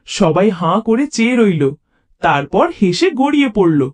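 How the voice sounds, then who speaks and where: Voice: medium pitch, big pitch swings, unhurried. A storyteller reading scripted funny stories for children.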